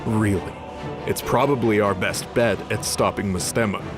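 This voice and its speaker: A man voicing a sardonic, gruff disgraced angel fighter in an audio drama, swearing and sarcastic. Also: lowish voice, moderate pace, natural voice. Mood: urgent, exasperated. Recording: music under the voice.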